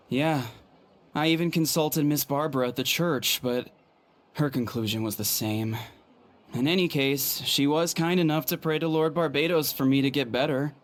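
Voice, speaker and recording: Man playing a worried young knight, lightly pitched. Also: a little hiss or hum, close to an everyday voice. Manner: hesitant, sighing, earnest. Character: weary, worried young male knight on patrol. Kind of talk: video game character acting.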